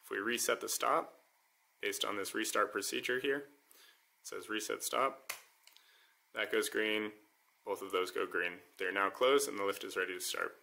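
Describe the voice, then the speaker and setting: A man calmly walking through how his homemade device works, step by step, in a voice-over demo. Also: lowish voice, moderate pace, gravelly, long pauses. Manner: calm, methodical step-by-step explaining. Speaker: maker demonstrating his device.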